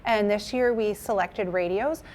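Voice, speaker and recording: A woman's voice: mid-range voice, unhurried, darkish tone. A woman, speaking as a museum curator explaining in an interview. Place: indoors at a museum.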